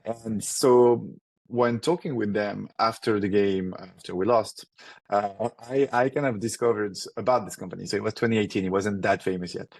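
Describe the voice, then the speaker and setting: A man's voice: on the low side, vocal fry, a little mellow, no room sound. A man in his thirties from France with a thick French accent, a startup founder answering interview questions casually, with many fillers and restarts. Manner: fast, filler-heavy, restarts often.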